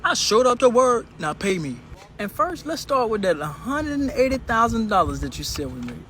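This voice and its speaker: A man's voice: very high-pitched, big pitch swings, normal speed, bright, forceful tone. A man doing a comic rant to camera in a playful, mock-demanding manner. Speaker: air traffic controller. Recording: noisy, street or vehicle sounds.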